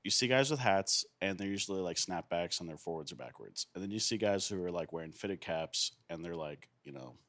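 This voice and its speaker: Male podcast guest. Deep voice, dry close sound, loose rambling banter, swears freely. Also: animated, brisk, few pauses, fairly bright tone, dry recording. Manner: rambling, profane. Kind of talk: casual banter.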